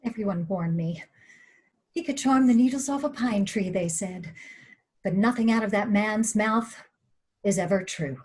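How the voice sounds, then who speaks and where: A woman's voice: medium pitch, normal speed, long pauses, a little mellow, breathy edge, lightly acted. A woman playing a nervous, tart-tongued film star in her fifties in a screenplay table read, biting and wry with emphatic repetition. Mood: rueful. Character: fifty-four-year-old Lucille Ball, nervous, tart-tongued, bitter screen star.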